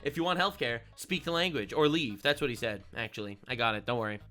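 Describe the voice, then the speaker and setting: Sarcastic ranting streamer, bright voice. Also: male voice, mid-range voice, quick pace, faint music behind. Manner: sarcastic, mocking, rants loudly. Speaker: streamer reacting to videos. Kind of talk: casual reaction commentary.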